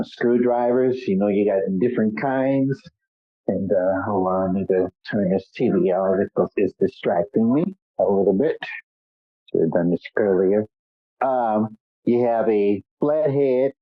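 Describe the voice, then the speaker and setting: Man, call-in show host, congested, nasal, fairly low voice, easygoing rambling delivery, dry tinny phone audio. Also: a little slow, dry recording. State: congested, stuffed up. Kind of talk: casual call-in talk show. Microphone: phone or conference call line.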